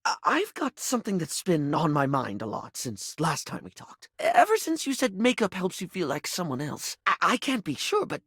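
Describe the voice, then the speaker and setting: A man voicing a flamboyant but insecure young male makeup character in a dating video game, theatrical, stammering and self-deprecating, with a breathy, creaky voice. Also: fairly high pitch, wildly swooping pitch, moderate pace, few pauses, fairly bright tone, no echo, studio quality, heavily acted. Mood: nervous, sheepish.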